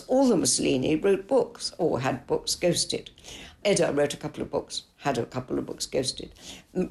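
Low, gravelly older woman author. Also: a little mellow. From Italy. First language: English. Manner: measured, thoughtful, frank. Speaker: author and biographer. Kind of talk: podcast interview answers.

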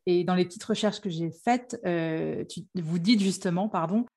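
A woman hosting a podcast interview, friendly and quick to correct herself mid-question. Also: lowish voice, dark tone, husky. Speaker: podcast host.

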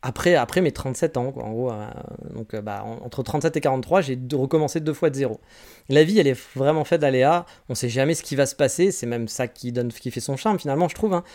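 A man's voice: medium pitch, fast, darkish tone. A man in his forties from France, hosting a casual solo podcast. He answers listener questions with candid, rambling advice and often restarts his sentences. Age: 40s. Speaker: podcast host.

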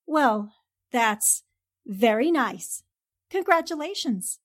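A woman playing a polite, cautious newspaperwoman in a 1940s-style radio drama, measured and reserved. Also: fairly high pitch, lively intonation. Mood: polite, composed.

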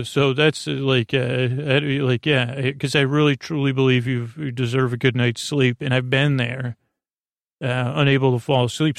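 Creaky-voiced man rambling through a bedtime podcast. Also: mid-range voice, no room sound, clean studio sound. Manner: meandering, halting, repeats and abandons phrases. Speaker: podcast host.

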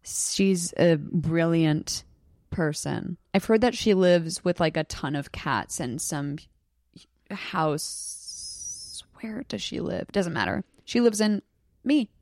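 Woman, young adult, from Southeast Alaska, podcast guest, excited storyteller, lowish voice, dry close sound. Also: takes it slowly, no echo. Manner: excited, storytelling, breaks into laughter. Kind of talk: casual chat.

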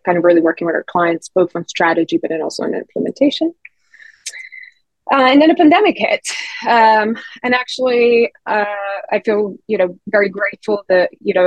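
A woman's voice: on the low side, no echo, poor audio. A woman in her thirties, originally from Bulgaria, chatting freely as a podcast guest about her career, with many fillers and restarts. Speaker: guest, head of people experience. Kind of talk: conversational podcast interview.

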